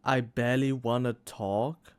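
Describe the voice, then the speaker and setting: A young man from Thailand, speaking English as a second language, chatting haltingly with restarts and "hella" slang. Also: mid-range voice, fairly even intonation, clean tone. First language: Thai. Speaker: podcast co-host. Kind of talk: casual chat.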